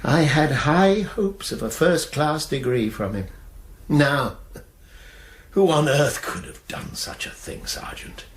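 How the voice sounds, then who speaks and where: A grave, dignified older gentleman, head of a college and badly shaken. He talks slowly and hesitantly in an echoey room, radio drama style. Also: on the high side, animated. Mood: sorrowful. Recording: echoey room, faint noise.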